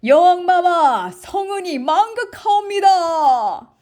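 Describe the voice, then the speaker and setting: A woman voicing an excitable, deferential royal courtier in a children's storybook, with exclamatory, archaic courtly speech. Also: very high voice, slow pace, voice pushed up, full character voice. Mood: awed, reverent.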